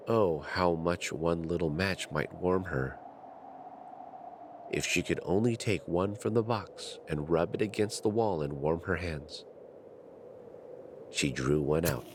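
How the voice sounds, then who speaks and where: A man's voice: deep voice, slight background noise. A man narrating a fairy tale from a script in a dramatic storytelling manner.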